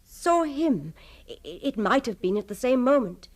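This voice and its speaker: An actress playing a tender, earnest, devoted gentlewoman in a literary radio drama, with theatrical delivery. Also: a little high, very animated, moderate pace, far from the mic. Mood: earnest, insistent. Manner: hushed to impassioned, theatrical delivery.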